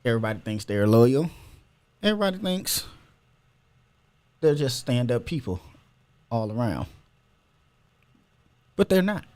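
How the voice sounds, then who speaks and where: A man's voice: mid-range voice, very animated, a little slow, pauses often, darkish tone. Loose-talking male podcast host. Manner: loose, rambling, opinionated with slang. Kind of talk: casual podcast chat.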